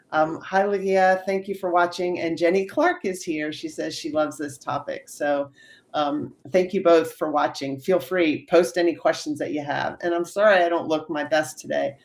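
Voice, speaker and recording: A woman in her fifties hosting a live stream interview, upbeat and chatty with frequent fillers, fighting a cold. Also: low voice. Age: 50s. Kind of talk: casual interview chat.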